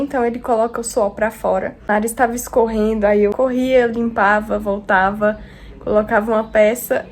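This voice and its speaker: Hoarse young woman vlogging with a cold. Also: mid-range voice, a little mellow. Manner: chatty, affectionate, addresses viewers as friends. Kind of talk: casual vlog talk to camera.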